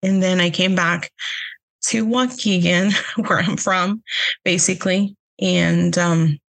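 A young woman with a Honduran accent talks slowly and unhurriedly as a podcast guest. She pauses often and leans on fillers, and laughter keeps slipping into her casual chat. Her pitch sits in an ordinary middle range. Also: steady tone, creaky, a bit bright.

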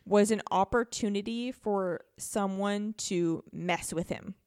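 A woman with an average-pitched voice talks in a very dry, close recording. She is a guest in a casual discussion, reflecting out loud and quoting her own thoughts in everyday language. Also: slow pace, smooth, dry recording. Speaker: podcast guest.

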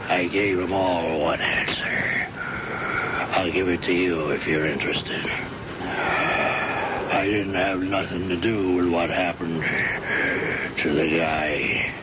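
Slow, deep-voiced bitter old-radio gangster. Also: male voice, steady tone. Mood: gruff, dismissive. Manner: gruff, defensive, slangy tough-guy talk. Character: bitter, gruff retired gangster confined to an iron lung. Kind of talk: old-time radio drama acting. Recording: muffled, very noisy vintage radio recording.

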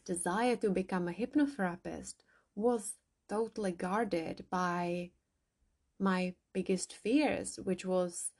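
A woman whose first language is not English, a hypnotherapist and coach, calmly guiding listeners through a journaling exercise. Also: fairly low pitch, slow pace. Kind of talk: guided journaling podcast.

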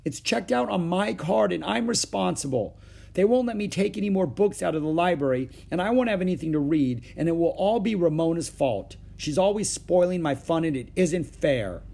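An exasperated schoolgirl big sister in a storybook, as a man puts her on, pitched well up and swinging widely. She complains with emphatic indignation. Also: lively intonation, brisk, hardly any pauses, dark tone. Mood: dismayed.

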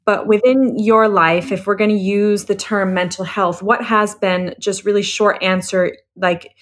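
A woman's voice: medium pitch, fairly even intonation, unhurried. A woman interviewer talking in a casual, conversational way, with informal, rambling phrasing.

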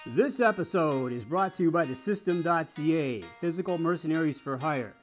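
A man with a slightly high voice works as a podcast host. He moves between scripted promo reading and casual interview talk, with faint music in the recording. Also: moderate pace.

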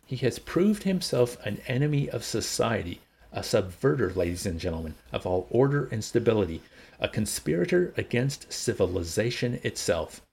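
Guy doing a pompous accusing boss in an audiobook, big swooping speechy delivery, husky. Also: fairly low pitch, very animated, moderate pace, a little mellow, exaggerated voice. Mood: stern, denouncing. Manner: declamatory, oratorical. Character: pompous, accusing male director making public denunciations. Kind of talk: dramatised audiobook reading.

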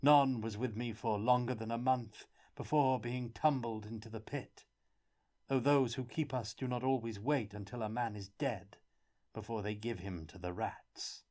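A man voicing a miserable, guilt-ridden prisoner with a hoarse, tearful, pleading voice. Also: mid-range voice, steady tone, normal speed, a little mellow, close to an everyday voice. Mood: mournful.

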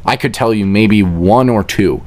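Low-voiced young man chatting casually. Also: measured pace, a little mellow. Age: young adult. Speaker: podcast guest. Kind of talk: casual podcast chat.

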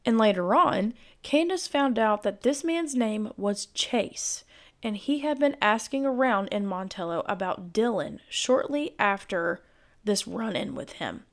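Woman, hoarse gravelly voice, chatty true crime host with speculative asides, middle pitch. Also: animated, a little slow. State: hoarse, voice giving out. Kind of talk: casual storytelling narration.

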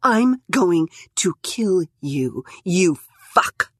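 A woman's voice: lowish voice, big pitch swings, drawn-out words, slightly rough, no echo, studio quality, heavily acted. A woman voicing a tough young cyborg mercenary, a hardened fighter who screams profanities in grief and rage. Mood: cold menace.

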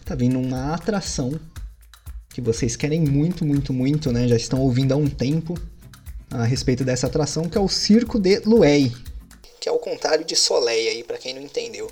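A game master narrating a tabletop game, relaxed and adding joking asides. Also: medium pitch, a little fast, husky, faint music behind.

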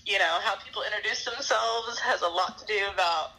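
A woman's voice: medium pitch, a little fast, sharp tone, low-quality recording. A woman from Alabama, chatting casually and reflectively, laughing often. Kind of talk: casual chat.

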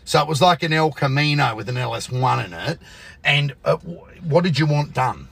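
An Australian man with a gravelly, husky voice hosts a laid-back chat show from a booth. He ribs his guest constantly, cursing and laughing as he steers the talk. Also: fairly high pitch, expressive, moderate pace, fairly bright tone, dry recording. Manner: joking, teasing, swears freely. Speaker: podcast host. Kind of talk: casual banter with a guest. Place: radio booth.

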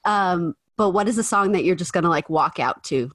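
Young woman podcast host, somewhat low voice, dry close sound, teasing and quick to laugh. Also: animated, a bit bright, dry recording. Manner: playful, teasing, laughs easily. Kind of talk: casual interview chat.

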